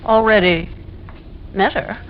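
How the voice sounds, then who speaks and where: A woman's voice: mid-range voice, takes it slowly, close to an everyday voice. An actress playing a stubborn, uneasy widowed landlady, folksy and forthright, in an old-time radio suspense play. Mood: uneasy, frightened. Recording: muffled, noisy vintage radio recording.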